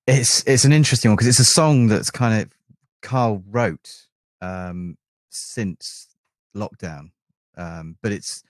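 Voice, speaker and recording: A man's voice: lowish voice, takes it slowly, no echo. A man giving conversational interview answers as a band member. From England. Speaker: band keyboardist and backing singer.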